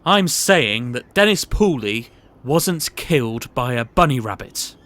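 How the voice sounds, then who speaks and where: A man playing a genial, pompous boss of a shady petting zoo in a comic audio drama, with theatrical, drawn-out phrasing. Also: mid-range voice, animated, fairly bright tone, soft background music. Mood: ominous, probing.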